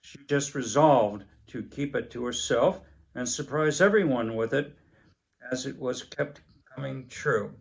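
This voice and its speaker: An older man in his seventies reading a story aloud in a halting manner, pausing between phrases. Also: mid-range voice, creaky, rough recording. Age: older, about 70s. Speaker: story reader. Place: indoors, book-lined room at a table.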